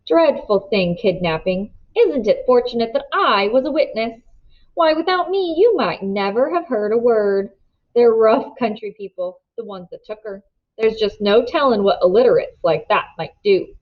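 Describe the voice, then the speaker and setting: A woman voicing a smooth, scheming older man who talks in polite, ingratiating tones with quiet menace. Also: on the high side, lively intonation, normal speed. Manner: silky, ingratiating, then hard bargaining. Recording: dull lo-fi recording.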